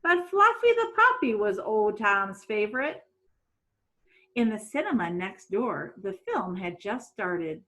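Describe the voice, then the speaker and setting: Animated, slow-paced woman reading a storybook. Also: mid-range voice. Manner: dramatic, deliberate storytelling. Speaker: children's picture-book reader. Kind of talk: expressive storybook read-aloud.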